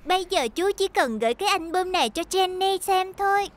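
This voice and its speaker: A woman's voice: very high voice, expressive, brisk. A woman voicing a caring, polite young female ambulance in a dubbed children's cartoon. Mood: helpful, bright. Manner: polite, reassuring. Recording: children's music behind the voice. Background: children's music.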